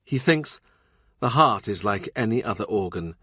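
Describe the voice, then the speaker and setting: A man's voice: on the low side, animated, normal speed, fairly bright tone, husky, dry recording, clean studio sound. A man reading a historical novel as scripted literary audiobook narration.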